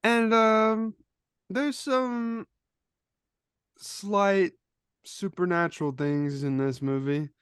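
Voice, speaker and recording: Male film co-host, unhurried, smooth and resonant, casual delivery, dry recording. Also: high voice, fairly even intonation, fairly bright tone, dry recording.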